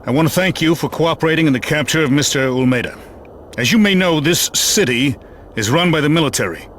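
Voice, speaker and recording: Stern, measured general. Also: male voice, a little high, lively intonation, a bit bright, slight background noise. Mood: official, firm. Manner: official, measured, commanding. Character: stern, formal American military general. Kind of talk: animated video game cutscene acting.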